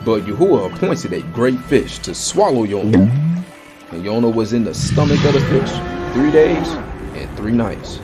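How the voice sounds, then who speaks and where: A man narrates scripture as a scripted drama. His voice sits at a middle pitch and moves with lively inflection, with music clearly behind it. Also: normal speed.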